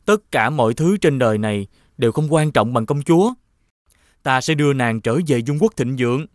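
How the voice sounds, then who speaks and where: A man voicing an earnest young prince in an animated fairy tale, speaking expressively. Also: medium pitch, fairly quick, no echo, clean studio sound, close to an everyday voice. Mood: resolute.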